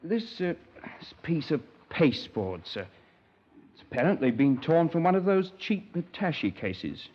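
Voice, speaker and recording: Earnest young English scoutmaster, very animated. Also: male voice, fairly high pitch, unhurried. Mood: earnest, rambling. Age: young adult. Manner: polite, hesitant, wordy, punctuated with sir. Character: naive, earnest, rambling young English scoutmaster, easily affronted. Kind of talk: 1950s radio crime drama acting. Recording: dull, band-limited 1950s radio recording.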